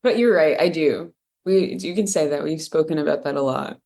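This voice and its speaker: A woman's voice: low-pitched, normal speed, warm tone, echoey room. A woman in her twenties from New England, a band member, chatting easily as a guest. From New England, USA. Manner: easygoing, chatty.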